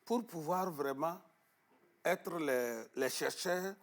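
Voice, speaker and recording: A man being interviewed in a television studio, explaining at length with emphasis, often pausing on fillers and repeating words. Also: a little high, creaky. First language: Arabic-educated, French not first. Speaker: guest on traditional knowledge.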